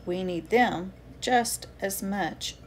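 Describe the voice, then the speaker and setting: A woman reading a children's picture book aloud with expressive, deliberate phrasing for young listeners. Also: mid-range voice. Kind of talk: read-aloud children's storytelling.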